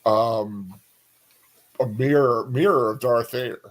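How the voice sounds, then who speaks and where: A man's voice: mid-range voice, slow pace, rough recording. A man co-hosting a casual discussion, speaking tentatively as he searches for words. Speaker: co-host.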